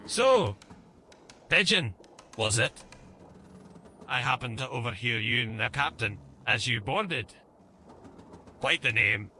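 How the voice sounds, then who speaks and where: Bright, animated elven bard. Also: male voice, mid-range voice, slow pace, pauses often, faint music behind, exaggerated voice. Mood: friendly, curious. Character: chatty, curious male elven bard with a lute. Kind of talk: audio role-playing game acting.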